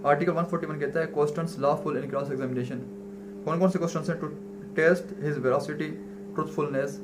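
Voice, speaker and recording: A man with a Pakistani accent giving an informal, didactic lecture, mixing in English legal terms and answering his own questions. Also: a little high. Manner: didactic, asks questions and answers them himself. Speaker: law lecturer. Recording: noisy recording with clear hum.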